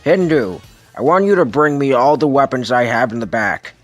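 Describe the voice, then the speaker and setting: A man voicing a brutal villain boss in an amateur comic dub, threatening, pompous and deliberate. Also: medium pitch, animated, no echo, faint music behind, close to an everyday voice. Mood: commanding. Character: menacing brutal villain boss interrogating a captive.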